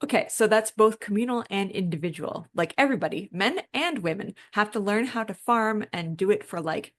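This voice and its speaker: A woman's voice: medium pitch, expressive, brisk. A woman co-hosting a podcast, explaining and discussing things in an informal, conversational way. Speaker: podcast co-host.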